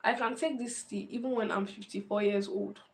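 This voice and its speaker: A woman's voice: medium pitch, fairly even intonation, fairly quick, vocal fry. A woman, talking casually to camera, thinking aloud and often repeating phrases. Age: 20s. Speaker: vlogger.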